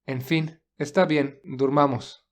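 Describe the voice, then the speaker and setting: A calm, caring young romantic lead, played by a man in an audio drama. He is soft-spoken and measured, with a protective, reassuring manner. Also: medium pitch, lightly acted.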